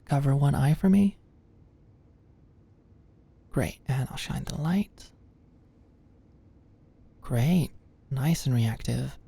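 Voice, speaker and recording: A woman playing a sweet, anxious young medical student in an ASMR roleplay, speaking gently and a little awkwardly close to the mic. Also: rumbling low, creaky, breathy edge, full character voice. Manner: gentle, halting, clinical instructions slipping into shy fluster. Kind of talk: ASMR roleplay, soft close conversational delivery. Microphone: close microphone, dry sound.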